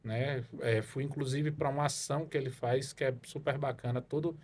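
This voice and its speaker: A man's voice: mid-range voice, fairly even intonation, normal speed, a little mellow. Rambling man telling his story. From Salvador, Bahia, Brazil. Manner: rambling storyteller, many fillers and tag questions. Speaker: guest, car evaluation consultant. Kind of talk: casual podcast interview.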